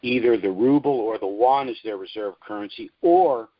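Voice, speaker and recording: Man, fairly low pitch, conference call host, explanatory briefing, measured delivery, formal wording, dull lo-fi sound. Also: dry recording. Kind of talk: informal prepared briefing to listeners.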